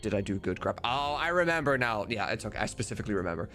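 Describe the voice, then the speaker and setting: A man's voice: fairly low pitch, lively intonation, rapid-fire, sharp tone, faint music behind. A man livestreaming as he works, chatting casually with viewers and muttering to himself. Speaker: livestreamer and game modder. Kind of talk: casual chat while working.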